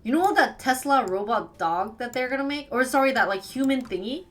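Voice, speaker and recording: A woman with a Wisconsin accent from the United States, chatting casually with viewers as a live streamer and firing quick questions back at them. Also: sits high, lively intonation, faint music behind. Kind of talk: casual chat answering viewers.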